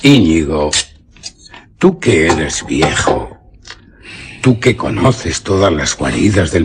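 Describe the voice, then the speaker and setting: A man's voice: low-pitched, a little hiss or hum, full character voice. An actor playing a proud, reckless young nobleman hunter in a suspense radio drama, declaiming with passion in Castilian Spanish. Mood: brooding, intent. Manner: imperious, impassioned, declamatory.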